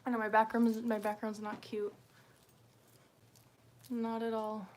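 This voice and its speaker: A woman's voice: on the high side, flat delivery, unhurried. A woman hosting a casual livestream, rambling informally and self-deprecatingly, swearing freely as she talks. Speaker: livestream host. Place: indoors at home, window open. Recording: noisy room with open window.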